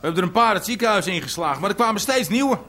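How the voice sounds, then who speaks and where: An actor playing a battered hired brawler, talking excitedly and boastfully in a radio drama. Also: male voice, high voice, rapid-fire, a little hiss or hum. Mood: excited, defensive.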